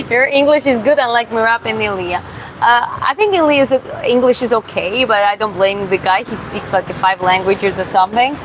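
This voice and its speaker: A woman from Georgia who streams and boxes, reading viewer comments aloud in a live Q&A and answering casually, often pausing and restarting to find her words. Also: fairly high pitch. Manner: casual, halting, searches for words with many restarts. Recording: steady background hum.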